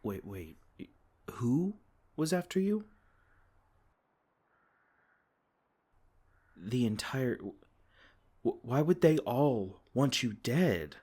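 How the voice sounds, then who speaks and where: A man voicing a gentle, protective male fae king in an ASMR comfort roleplay, soft-spoken and tender, stumbling over his words now and then. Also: mid-range voice, sing-song, takes it slowly, slightly rough, exaggerated voice. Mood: alarmed, indignant.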